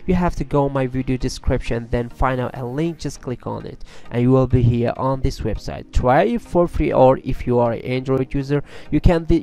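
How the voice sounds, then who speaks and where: Mellow male tutorial host. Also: medium pitch. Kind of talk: casual software tutorial voice-over. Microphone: USB microphone at a PC.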